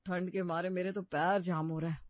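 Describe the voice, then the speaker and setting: A man's voice: high-pitched, level tone, a little fast, no echo, close to an everyday voice. A man voicing a grumbling, office-going brother in a Hindi cartoon story, with a complaining manner. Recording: dull, muffled studio voice track.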